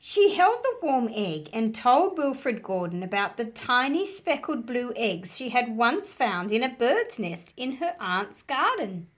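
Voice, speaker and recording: A woman reading a children's storybook aloud in an expressive, deliberate storyteller's manner. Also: on the high side. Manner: slow, deliberate, animated delivery for young listeners.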